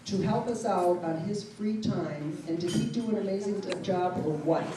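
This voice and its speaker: A woman's voice: mid-range voice, moderate pace. A woman presenting gifts at a dinner, chatty, with fillers and laughs. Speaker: event organizer presenting gifts. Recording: echoey hall from far off, noisy.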